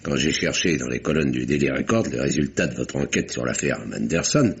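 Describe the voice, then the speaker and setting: A man's voice: extremely low pitch, lively intonation, fairly quick, husky, exaggerated voice. An actor playing an earnest, insistent older uncle in an old French radio drama, speaking formally and articulately as he probes. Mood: probing. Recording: dull, noisy vintage radio recording.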